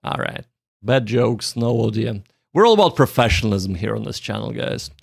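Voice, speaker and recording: A man's voice: fairly low pitch, very animated, normal speed, creaky, slightly rough, no room sound, studio quality. A man hosting a casual study livestream, thinking aloud in a joking, self-deprecating way. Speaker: livestream host, language learner.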